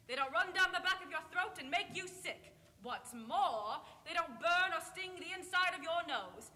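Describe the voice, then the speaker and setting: A woman playing a chirpy old-time radio announcer pitching a product to children, persuasive and sing-song. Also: bright, forceful tone, heavily acted. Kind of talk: vintage radio advertisement. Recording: reverberant hall.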